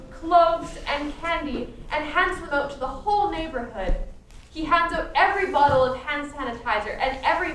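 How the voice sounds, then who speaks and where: A woman's voice: high voice, fairly bright tone. A woman narrating a scripted Christmas radio play as its storyteller. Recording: distant echoey stage, faint noise.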